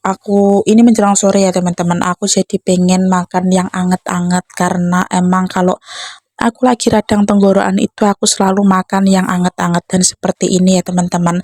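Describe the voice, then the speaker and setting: A woman's voice: medium pitch, a little mellow, no room sound, studio quality. A young woman narrates a home cooking vlog in a casual, chatty way, addressing viewers like friends.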